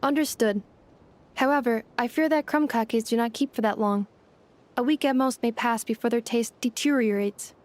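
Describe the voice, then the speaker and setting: A woman's voice: a little high, normal speed, lightly acted. A woman playing a polite, literal-minded robotic girl in a video game, speaking formally and precisely. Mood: earnest, matter-of-fact.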